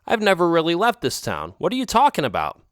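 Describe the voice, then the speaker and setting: A man's voice: on the high side, wildly swooping pitch, fast talker, a bit bright, dry recording, studio quality, voice pushed up. A man voicing a timid, worried young male cat asking nervous questions.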